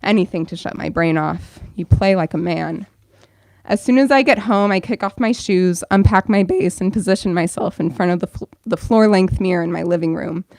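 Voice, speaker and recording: Low-voiced woman reading her own story. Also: darkish tone, dry recording. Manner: friendly welcome, then measured reading. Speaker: bookstore events director. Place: bookstore event room with audience.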